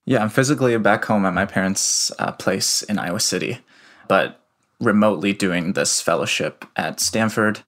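Young guy from the us, low voice, explains stuff slow and careful, talking from home. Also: a bit bright. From United States. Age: young adult. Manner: measured, explanatory, with some fillers. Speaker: guest, researcher. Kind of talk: remote interview answers.

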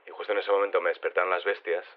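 An actor playing a shy body-swapped caller, halting and understated, heard through a telephone filter. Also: male voice, low-pitched, flat delivery. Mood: hesitant, matter-of-fact. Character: shy woman living inside a man's body. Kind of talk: naturalistic phone interview in audio drama. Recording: narrow, tinny phone line.

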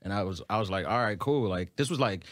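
A man's voice: lowish voice, fast, no room sound. New York man chatting casually, close dry sound. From Queens, New York City. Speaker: stand-up comedian, interview guest. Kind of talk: casual chat with slang.

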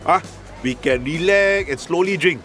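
High-pitched chatty Singaporean drinking buddy played by a man. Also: moderate pace, sharp tone, soft background music. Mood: easygoing, chatty. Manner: colloquial, emphatic sentence-end particles. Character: chatty, easygoing male Singaporean drinking buddy at hawker centre. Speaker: voice actor reading the Singlish part. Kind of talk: scripted casual dialogue in Singlish.

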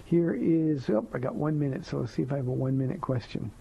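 A soft-spoken man with a gravelly, husky voice, pitched a little high for a man, hosts a live call-in show as a pastor. He answers listener questions gently and earnestly, leaning on slow emphatic phrases. The sound is a dull, lo-fi radio broadcast. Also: lively intonation. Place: radio studio.

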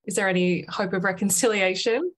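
A woman's voice: mid-range voice, a little fast, no room sound. A woman hosting a podcast, reading an upbeat intro and then interviewing her guest. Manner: upbeat, gushing. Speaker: podcast host.

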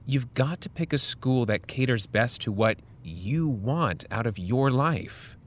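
A man playing a patient but firm high school counselor, measured and earnest, in a fiction podcast. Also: animated, lightly acted. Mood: firm, concerned. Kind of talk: scripted audio drama dialogue.

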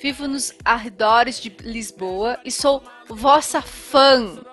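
A woman's voice: fairly high pitch, slow, fairly bright tone, soft background music. A woman cohosting a podcast, chatting and reading a listener's email. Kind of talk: casual chat.

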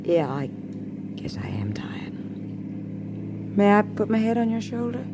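An actress playing a seductive, forward party comrade in a 1950s radio drama, with a coy, flirtatious manner. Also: female voice, sing-song, measured pace, vocal fry, muffled recording. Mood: wistful, seductive. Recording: noisy 1950s radio recording, background rumble.

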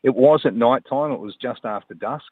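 A man from New Zealand calling a radio show by phone, telling a story in a halting, solemn, insistent way. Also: mid-range voice, husky, dry recording. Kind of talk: casual anecdote telling. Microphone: telephone. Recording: tinny phone line.

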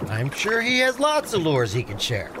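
A man's voice: a little high, wildly swooping pitch, moderate pace, background noise. An actor playing a sheepish, forgetful but hopeful fisherman sidekick, hesitant in speech, in a radio play.

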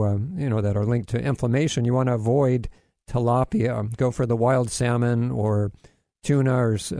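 A man originally from Hawaii hosts a casual radio health talk at an ordinary mid pitch. He rambles through tips with frequent fillers, restarts and corny jokes. The sound is dry, with no room behind him. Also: dark tone, dry recording. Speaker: radio health show host.

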